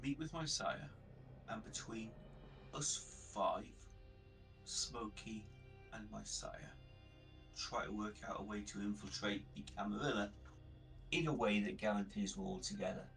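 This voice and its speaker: A man playing a tabletop role-playing game, reasoning through plans in character in a deliberate way. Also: on the low side, a bit bright, recorded from a distance, faint music behind, rough recording. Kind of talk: in-character planning talk.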